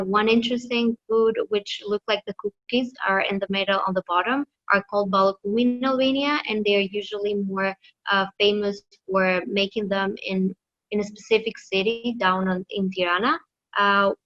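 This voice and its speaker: A woman presents to a class, her voice sitting at a middling pitch. She talks hesitantly and fills her pauses, often restarting sentences. The sound is a little muffled and lo-fi. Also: steady tone, fairly bright tone. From Greece. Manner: hesitant, many fillers and restarts. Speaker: student presenter.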